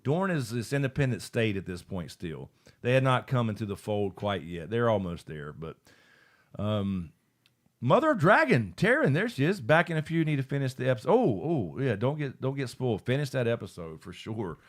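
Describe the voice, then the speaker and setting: A man's voice: mid-range voice, big pitch swings, moderate pace. A man hosting a casual livestream after-show, rambling, swearing and laughing as he reads out viewer comments. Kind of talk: casual live chat, reading viewer comments.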